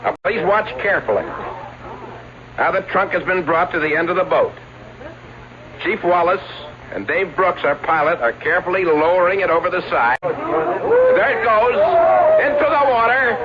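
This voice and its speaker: A showy announcer at an escape act, highish voice, lo-fi old radio. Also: male voice. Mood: dramatic announcing. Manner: announcing, dramatic. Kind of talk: 1970s radio drama acting.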